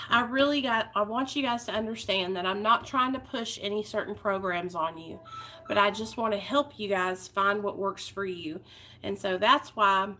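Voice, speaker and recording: A woman's voice: mid-range voice, normal speed, bright, forceful tone. A woman, talking candidly and heartfelt to her viewers in a personal vlog. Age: 30s. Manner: candid, heartfelt, rambling with fillers. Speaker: vlogger.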